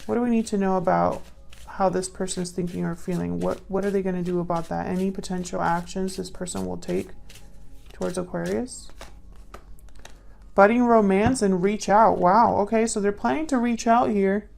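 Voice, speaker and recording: A woman giving a casual intuitive tarot reading to viewers, musing and pausing as she thinks aloud. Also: mid-range voice, fairly even intonation, gravelly, darkish tone. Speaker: tarot reader.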